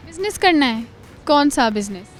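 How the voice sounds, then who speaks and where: High-voiced young woman teasing interviewees. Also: sing-song, soft background music. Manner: teasing, quick follow-up questions. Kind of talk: playful street interview. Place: college campus.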